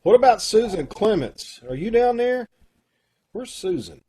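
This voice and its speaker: Man, host, slightly high voice, chatty, friendly, video call mic. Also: sing-song, a little slow, a crowd in the background. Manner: chatty, friendly, loose with fillers. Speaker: host of a community radio troupe. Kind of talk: casual chat.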